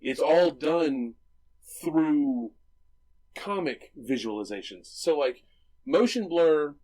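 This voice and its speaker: A man's voice: a little high, animated, takes it slowly, a little mellow, poor audio. A man co-hosting a movie podcast, explaining film visuals enthusiastically in casual chat, with frequent restarts and tag questions. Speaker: podcast co-host.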